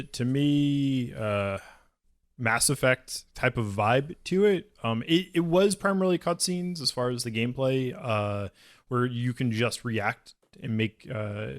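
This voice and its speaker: A man co-hosting a podcast in casual chat, speaking in a measured, somewhat hesitant way. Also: medium pitch. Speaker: podcast co-host.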